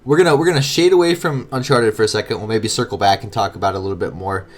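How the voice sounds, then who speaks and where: A man's voice: fairly low pitch, very fast, slight background noise. Nasal, congested man hosting a podcast. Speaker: podcast co-host. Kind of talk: casual podcast chat.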